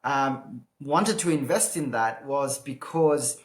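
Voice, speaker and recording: A man in his thirties, raised in Australia, answering interview questions thoughtfully with many filler pauses. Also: medium pitch. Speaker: guest, impact investor. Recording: roomy echo.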